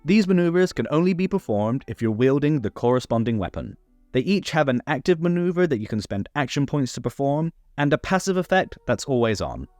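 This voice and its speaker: A man's voice: medium pitch, big pitch swings, fairly quick, a little mellow, no echo. A man narrating a scripted tabletop game rules guide, instructional with playful asides. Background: background music.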